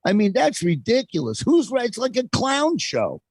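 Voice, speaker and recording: A man's voice: extremely high pitch, wildly swooping pitch, moderate pace, slightly rough, no room sound. A middle-aged American man hosting opinionated talk radio, speaking in an exasperated, emphatic way full of rhetorical questions. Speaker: talk show host.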